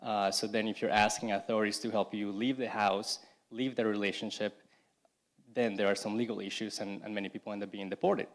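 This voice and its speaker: A young man from Colombia giving a personal testimony at a church service, speaking in a halting way with frequent restarts and "you know". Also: fairly low pitch, little pitch movement. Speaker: congregation member giving testimony.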